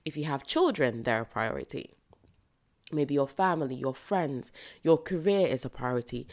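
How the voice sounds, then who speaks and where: A British woman hosting a solo podcast, slightly croaky from recording early in the morning, speaking in an earnest, motivational way and repeating phrases for emphasis. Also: very deep voice. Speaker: podcast host. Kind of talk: solo reflective podcast monologue.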